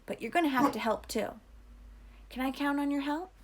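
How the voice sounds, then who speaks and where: A woman's voice: sits high, moderate pace, noisy background, voice pushed up, close to an everyday voice. Woman reading as an earnest, patronizing male camp counselor. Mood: encouraging. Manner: encouraging, patronizing.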